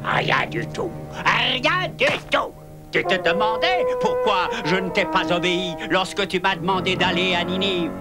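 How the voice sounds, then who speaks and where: An actor playing an indignant prophet who proclaims doom in a declamatory way, in a dubbed animated Bible cartoon. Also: male voice, sits high, measured pace. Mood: bitter, exasperated. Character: wrathful, indignant prophet preaching doom to a sinful city. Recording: loud music under the voice.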